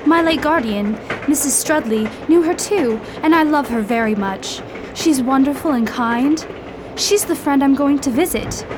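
A woman's voice: high voice, measured pace, background noise. A woman playing an eager, willful young fiancée in a radio drama, earnest and girlish in manner. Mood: earnest, determined.

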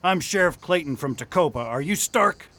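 A man's voice: a little high, animated, moderate pace, a bit bright. A man playing a folksy frontier sheriff in an amateur radio drama, often hesitant. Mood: firm.

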